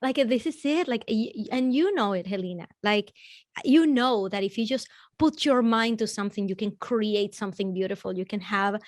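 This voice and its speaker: A woman in her forties from Venezuela, a native Spanish speaker with a Venezuelan accent, talking as a podcast guest in an energetic, motivational, straight-talking way. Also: medium pitch, moderate pace, no echo, clean studio sound. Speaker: guest business coach. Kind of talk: podcast interview conversation.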